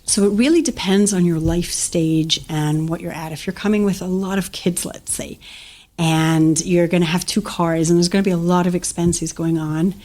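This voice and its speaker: Guest expert: woman, Scottish-born, American accent, lower pitch, relaxed explainer. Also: moderate pace. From Scotland. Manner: friendly, explanatory, casual fillers. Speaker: financial planner guest. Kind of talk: conversational interview.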